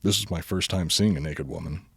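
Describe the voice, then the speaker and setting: A man with a deep voice reads a silly bedtime story for a podcast. His delivery is deadpan, though he is prone to breaking into giggles. The sound is very dry, with hardly any room to it. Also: a little fast, gravelly, breathy edge, no echo. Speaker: podcast host reading a story. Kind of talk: comic bedtime story reading.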